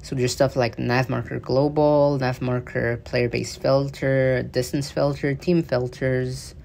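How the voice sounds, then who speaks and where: A man's voice: medium pitch, little pitch movement, measured pace, creaky, darkish tone, slight background noise. A man giving casual, loose commentary on new game update features, with many fillers and restarts. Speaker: gaming news video creator. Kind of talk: casual explanatory commentary over gameplay footage.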